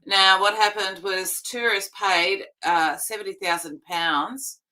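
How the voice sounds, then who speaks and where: A woman's voice: medium pitch, fairly even intonation, pushed and bright, low-quality recording. A woman hosting a live news stream, chatty and emphatic in casual commentary. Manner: chatty, emphatic, quick asides.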